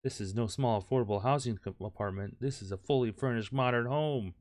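A man's voice: mid-range voice, brisk, darkish tone, no room sound, studio quality. A man doing let's play commentary, reading game text aloud with crude jokes and the odd stumble. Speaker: gameplay host.

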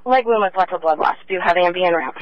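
Fast, high-voiced male dispatcher. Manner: fast, clipped radio codes. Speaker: police dispatcher. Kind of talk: terse radio dispatch. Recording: lo-fi radio transmission.

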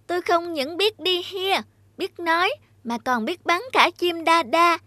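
A woman's voice: very high voice, a bit bright, no echo, exaggerated voice. A woman voicing a clever, cunning male cat in a cartoon fairy tale, eloquent and courtly in manner. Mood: courtly, respectful.

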